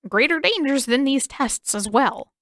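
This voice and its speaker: A manic, cackling old king with a wild grin in his voice, put on by a woman reading a fan story aloud. He teases and baits his listeners, and his lines rise a little higher than her plain telling. The recording is close and dry, with no room sound. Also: lively intonation, a little slow, fairly bright tone, no echo, exaggerated voice. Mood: sly, playful. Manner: theatrical, teasing, grinning riddler.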